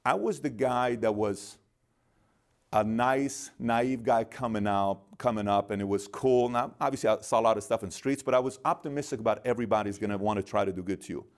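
Low-voiced man telling a story. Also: fairly quick. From Iran. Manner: animated storyteller, acts out dialogue in quotes. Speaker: guest, business founder. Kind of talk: storytelling interview.

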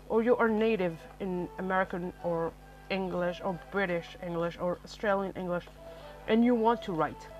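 A woman's voice: on the low side, poor audio. A woman from Algeria whose first language is Arabic, hosting a solo podcast and giving casual, rambling advice with many fillers and self-corrections. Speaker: podcast host. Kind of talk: solo podcast talk on writing.

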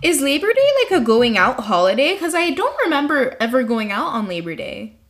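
A woman with a somewhat high voice chats easily on a podcast. She is relaxed and giggles between thoughts. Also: fairly quick. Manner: easygoing, giggly. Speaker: podcast co-host. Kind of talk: casual chat.

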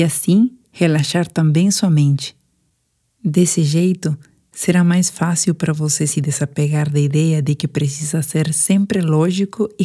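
A Brazilian woman with a low, soft-edged, mellow voice leads a guided meditation for sleep. She speaks gently and without hurry, in the measured way of someone reading a relaxation script. Her delivery is soothing throughout, with a dark, relaxed tone.

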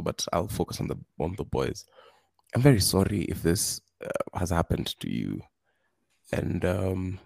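A man speaking as a podcast guest, giving earnest advice in a halting way, with frequent restarts. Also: deep voice, gravelly, darkish tone, no echo. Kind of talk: casual advice talk.

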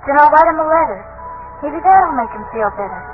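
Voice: high voice, animated, brisk, music in the background. A performer playing a trusting, sincere little girl in an old radio drama, childlike and earnest. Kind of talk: 1940s radio acting. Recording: thin, phone-like old radio recording with hiss.

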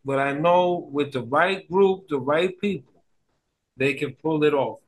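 Excitable man chatting, slightly high voice. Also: measured pace, rough recording. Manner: excitable, repeats phrases for emphasis. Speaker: podcast guest or co-host. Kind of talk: casual chat.

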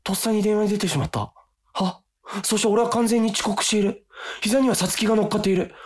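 A man's voice: sits high, fairly even intonation, quick pace. An actor playing a self-pitying, melodramatic young office worker in a comic radio drama, narrating his inner thoughts in an overwrought way. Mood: panicked. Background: background music.

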